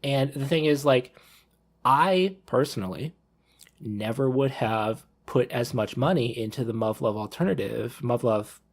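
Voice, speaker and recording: A man's voice: medium pitch, measured pace. Rambling thirty-year-old man, casual podcast talk. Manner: rambling, repeats and rephrases himself. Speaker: podcast panelist. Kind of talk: casual panel discussion.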